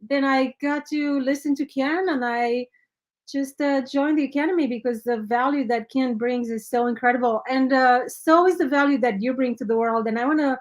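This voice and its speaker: A woman's voice: high-pitched, moderate pace. A woman hosting a live-streamed interview show, effusive and greeting viewers by name. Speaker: host.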